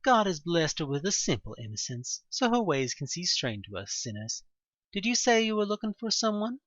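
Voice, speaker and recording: Narrator doing a calm, courteous male priest. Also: medium pitch, normal speed, no room sound, lo-fi sound, pitched above the performer's own voice. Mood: gentle, courteous. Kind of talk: audio drama dialogue.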